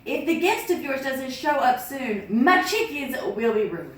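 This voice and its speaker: A woman's voice: sits high, very animated, measured pace. A woman voicing a sassy young female servant who loves dancing, talking brash and cheeky in a storybook reading. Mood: worried. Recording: echoey room, distant mic.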